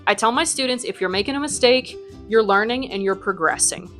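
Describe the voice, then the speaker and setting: A woman's voice: on the high side, brisk, fairly bright tone, soft background music. A young adult woman from the United States, a Spanish teacher who runs her academy, answering interview questions in a chatty way full of ums and likes.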